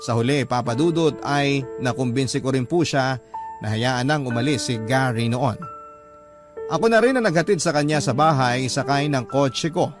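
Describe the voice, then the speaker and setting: A man acts as a sincere Filipino letter writer with a medium-pitched voice. He narrates in an earnest, polite, sentimental radio style, protective in tone, with music clearly behind him. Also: animated, normal speed, natural voice. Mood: earnest, reflective. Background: music under the narration.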